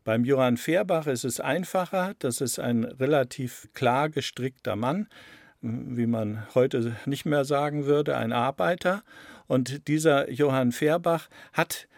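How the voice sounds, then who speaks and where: A man explaining his work in an interview, deliberate, often repeating and rephrasing for emphasis. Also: medium pitch, creaky, no room sound, clean studio sound. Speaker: radio play author interviewed about his work.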